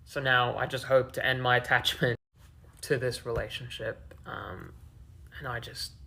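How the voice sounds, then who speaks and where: A man in his twenties records a personal vlog for his viewers. His mid-range voice has a noticeable gravelly fry. He speaks candidly about himself, with casual swearing. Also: mid-range voice, level tone, a little slow, pushed and bright, a little hiss or hum. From several countries including the Philippines, Australia and the UK. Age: 20s. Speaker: online video creator.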